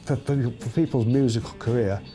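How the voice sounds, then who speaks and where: A man in his fifties, a band's bassist, speaking thoughtfully and a little hesitantly in a documentary interview. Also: fairly quick. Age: 50s. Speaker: band bassist. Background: music bed.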